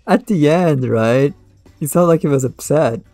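A young man in his twenties streams games and chats casually. His voice is mellow and dry, close to the microphone. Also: a little high, lively intonation, measured pace, no echo, soft background music. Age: 20s. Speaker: game streamer. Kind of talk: casual chat. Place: bedroom streaming setup. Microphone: close streaming mic, headset on.

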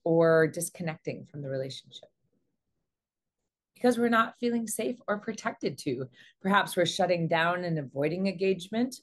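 Woman, 40s, fairly low voice, earnest and measured coach delivering instructive advice to camera. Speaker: relationship coach and host. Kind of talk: explanatory advice talk to camera. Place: small indoor room.

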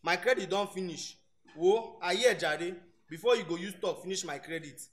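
High-pitched young Nigerian male fresher, acted by a man. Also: normal speed, natural voice. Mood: casual, friendly. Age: young adult. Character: eager, timid young male university fresher. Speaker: actor. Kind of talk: radio drama acting in Nigerian Pidgin.

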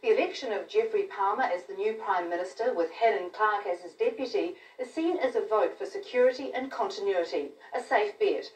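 A woman's voice: mid-range voice, fairly quick, few pauses, a little mellow. A woman in her thirties with a New Zealand accent, reading scripted television news. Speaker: news presenter. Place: television newsroom studio. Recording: roomy, distant capture of TV broadcast.

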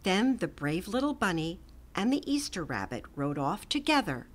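A middle-aged woman reading a children's picture book aloud with gentle, expressive storytelling and soft whispered asides. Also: on the low side, normal speed. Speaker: storytime reader. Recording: music playing under the voice.

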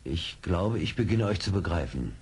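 A seasoned, brave frontiersman in a radio play. His lowish, husky voice moves expressively as he gives deliberate, commanding speech. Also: animated, darkish tone, exaggerated voice. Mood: respectful, earnest. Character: seasoned, decisive, brave frontier scout, male. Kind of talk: 1970s German radio play acting.

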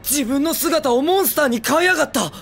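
A man voicing a brash, excitable teenage boy hero in an anime film, with cocky, eager, boyish speech. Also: very high voice, a little fast, vocal fry, music in the background, heavily acted. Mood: fired up. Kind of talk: Japanese anime film acting.

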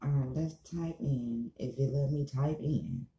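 A woman's voice: extremely low pitch, a little slow, warm tone, dull audio. An American woman in her thirties giving a casual, blunt, emphatic and repetitive rant to camera, swearing freely. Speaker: online commentary vlogger. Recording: echoey small room.

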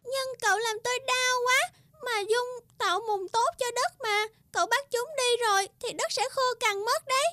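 Woman voicing a very high-pitched little flower girl. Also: normal speed, bright, forceful tone, no echo, soft background music. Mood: pained, pleading. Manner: polite, sweet, exclamatory. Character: small, kind, earnest young morning glory flower girl. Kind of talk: children's cartoon fairy tale dubbing.

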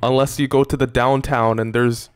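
A young man streaming from a desk mic, chatting casually and excitably with lots of fillers. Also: mid-range voice, moderate pace, clear, resonant tone. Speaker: streamer and audio editor. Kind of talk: casual reaction stream chat. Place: indoor room at desk in gaming chair. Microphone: desk microphone on arm.

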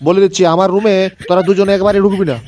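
A man's voice: high-pitched, level tone, rapid-fire, a little mellow, a little hiss or hum, close to an everyday voice. A man voicing an angry, foul-mouthed old grandfather in a comedy cartoon, scolding and repeating himself. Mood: accusing. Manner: scolding, repetitive, ranting.

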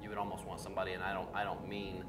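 A man's voice: low-pitched, completely flat delivery, very fast, loud music behind the voice. A middle-aged man hosting a casual entertainment news chat, with a dry, sarcastic manner. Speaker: geek talk show host. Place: indoor room, panel around a table. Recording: roomy echo, faint background noise.

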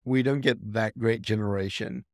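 A man's voice: fairly low pitch, steady tone, normal speed, gravelly, no echo, studio quality. A man hosting a tech tutorial, casually walking through a screen recording and thinking aloud with small restarts.

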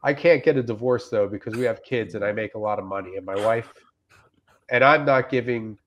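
A man in casual comic chat and reading reviews aloud, rambling and deadpan, with some swearing, on a video call. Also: on the low side, brisk, muffled recording, a little hiss or hum. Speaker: comedian guest. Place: indoors on a group video call.

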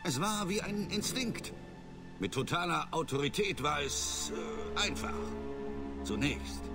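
An actor playing a disgraced middle-aged starship captain and father, evasive and self-justifying, speaking in a formal, measured way in a video game. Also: a little high, expressive. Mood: defensive. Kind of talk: video game voice acting, German dub. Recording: loud music under the voice.

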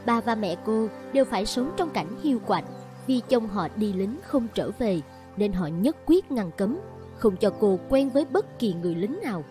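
A woman's voice: medium pitch, lively intonation, moderate pace, mellow, faint music behind, lightly acted. A woman narrating a folk tale in a scripted storybook style.

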